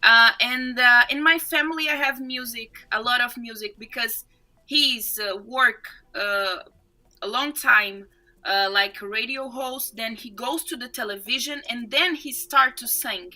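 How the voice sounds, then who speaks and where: A young woman from Brazil with a Brazilian Portuguese accent, a podcast guest chatting in English as her second language, animated and often laughing while she searches for words. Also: a little high, measured pace. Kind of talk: casual interview chat.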